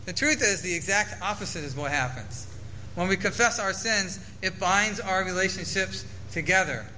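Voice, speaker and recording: A man's voice: sits high, animated, normal speed, bright, forceful tone, slight background noise, rough recording. A man preaching a sermon to his church, earnestly reading scripture aloud and explaining it. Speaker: pastor.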